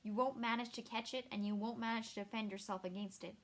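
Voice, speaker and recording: A woman voicing a grim, weary male monster hunter who speaks low and gravely. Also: fairly even intonation, quick pace, natural voice.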